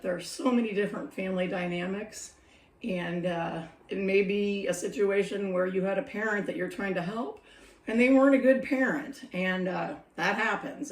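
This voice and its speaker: A middle-aged woman with a scratchy voice chatting reflectively in a vlog, laughing often as she talks. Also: medium pitch. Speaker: senior care worker sharing advice. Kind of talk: casual talking-to-camera vlog. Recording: roomy echo, mic a bit distant.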